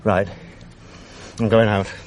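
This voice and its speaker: An actor playing a bitter, sardonic middle-aged husband with a British accent in a radio drama, his voice husky. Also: lowish voice, flat delivery, slow pace. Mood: furious ranting. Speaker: lead actor and narrator.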